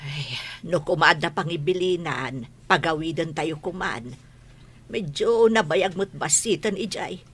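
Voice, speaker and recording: An actress playing a weary middle-aged village mother with a husky voice in a radio comedy drama. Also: fairly low pitch, measured pace, a bit bright. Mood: reproving.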